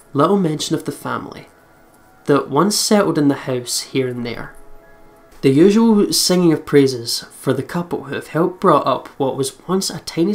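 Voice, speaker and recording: Expressive let's player reading over music. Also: medium pitch. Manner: expressive narration with swearing and joking asides. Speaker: let's play commentator. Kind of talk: reading a visual novel aloud with casual reactions. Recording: music behind the voice. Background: game music.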